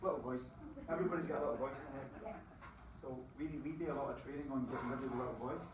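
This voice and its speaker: A middle-aged Scottish man giving a lively motivational talk to a live audience, quizzing the crowd with folksy asides. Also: mid-range voice, very fast, background noise. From Scotland. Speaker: business opportunity presenter. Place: presentation room with an audience. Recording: very echoey room, distant mic.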